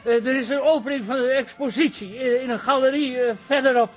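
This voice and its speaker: A man's voice: extremely high pitch, steady tone, brisk, faint music behind. An actor playing a whining, evasive photographer with toothache in a radio comedy, moaning and slurring his words. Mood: hesitant, defensive. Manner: hesitant, whiny. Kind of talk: radio drama dialogue.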